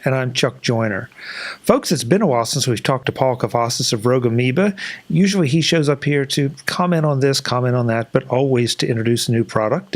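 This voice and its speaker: Older man hosting an interview. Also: medium pitch. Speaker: show host interviewer. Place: small indoor home office on a video call. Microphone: close desk microphone.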